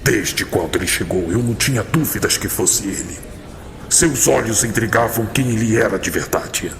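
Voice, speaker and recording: Man playing a gravelly old tiefling chief, cavernous reverb. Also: fairly low pitch, animated, normal speed, a bit bright. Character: older tiefling leader and uncle, grave and resolute. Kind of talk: audio drama acting. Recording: long hall reverb with clear noise.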